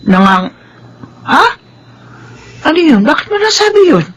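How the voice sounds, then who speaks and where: A woman's voice: high-pitched, sing-song, measured pace, fairly bright tone, slight background noise, heavily acted. A woman playing a fussy, motherly older household helper in a Filipino radio drama. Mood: concerned, startled. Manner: motherly, fretful.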